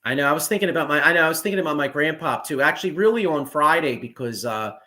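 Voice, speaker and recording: A man, hosting a casual sports chat, excitable and profane with lots of restarts. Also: a little high, quick pace. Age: 40s. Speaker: podcast host.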